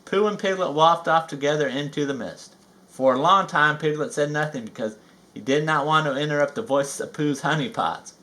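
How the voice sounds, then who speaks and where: Folksy guy doing a kids read aloud, slightly high voice, little side comments. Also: normal speed, pushed and bright. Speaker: children's story reader. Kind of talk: animated read-aloud narration with chatty asides.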